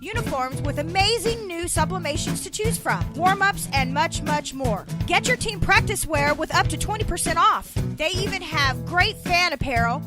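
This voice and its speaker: A woman's voice: very high-pitched, lively intonation, normal speed, few pauses. A woman reading a scripted radio commercial as the announcer. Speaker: advert voice-over announcer. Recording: loud music bed underneath. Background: loud music.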